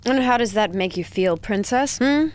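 Here is a woman acting a tough warrior woman in charge of security in a fantasy audio drama. She is mocking and sarcastic. Her pitch swings widely as she taunts. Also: expressive, normal speed, noisy background, natural voice.

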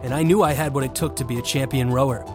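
A man's voice: mid-range voice, fairly even intonation, quick pace, natural voice. A man playing a privileged young college novice rower, narrating an audio drama in a reflective, confiding way. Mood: reflective, self-assured. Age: young adult. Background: music.

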